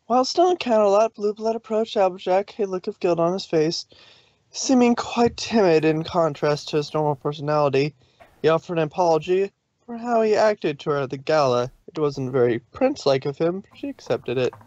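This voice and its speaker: A man's voice: fairly high pitch, animated, brisk, a little mellow, dry recording. Male story narrator, dry dull recording. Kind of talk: scripted fan fiction narration.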